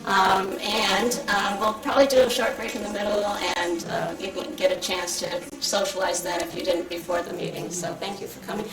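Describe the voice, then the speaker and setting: A woman's voice: mid-range voice, steady tone, normal speed, hardly any pauses, heavy background noise. A woman host giving casual welcoming remarks to an audience, with fillers. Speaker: host introducing the guest. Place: art association meeting hall. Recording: echoey room, mic at a distance, loud crowd.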